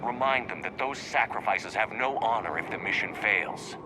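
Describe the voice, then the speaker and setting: A man playing a steady, commanding military officer in a video game, direct and authoritative. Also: fairly low pitch, moderate pace, lightly acted. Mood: urging, steady. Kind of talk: video game dialogue acting. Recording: noisy battlefield ambience behind voice.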